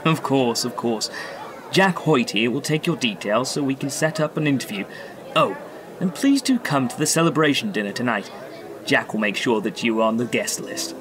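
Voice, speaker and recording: A man acting a genial, courteous senator and flattering world leader in a radio drama. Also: medium pitch, moderate pace, close to an everyday voice. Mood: gracious. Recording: crowd noise behind.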